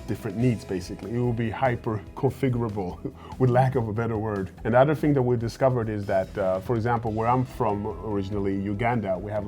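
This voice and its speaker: A man in his forties from Sweden, a startup founder speaking at a fireside chat interview, earnest and often pausing on "uh" and repeated words as he thinks. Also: fairly low pitch, dark tone. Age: 40s. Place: event stage at a fireside chat.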